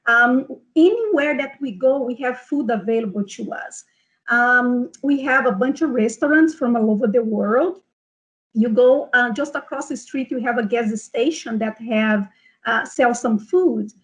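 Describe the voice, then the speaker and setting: A woman's voice: on the high side, a little slow, low-quality recording. A middle-aged woman with a Brazilian Portuguese accent, presenting an informal health seminar and speaking directly to her audience. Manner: frequent fillers and restarts, speaks directly to the audience.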